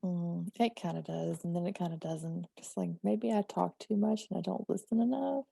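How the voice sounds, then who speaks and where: A woman with a slight Southern US accent talks as a guest on a video call, chatty and quick to laugh. Her voice has a gravelly fry and a mellow, dark tone. The sound is dry and close. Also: lowish voice, dry recording. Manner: chatty, laughs often, acts out her own thoughts. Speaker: guest writer. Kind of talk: casual conversation.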